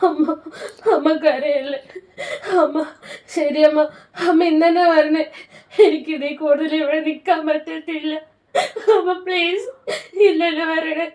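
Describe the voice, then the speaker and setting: A woman's voice: high voice, steady tone, a little slow, pauses often, darkish tone, echoey room, far from the mic. Woman playing a sobbing, despairing young wife. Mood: pleading. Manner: sobbing, pleading. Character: young married daughter, despairing, mocked by in-laws.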